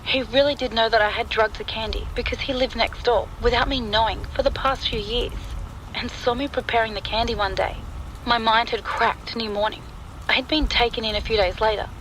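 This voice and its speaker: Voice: medium pitch, rough recording. A narrator reading a scripted first-person horror story, with rain and thunder sounds behind the voice. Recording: clear steady rain and storm noise. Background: rain and thunder sound effects.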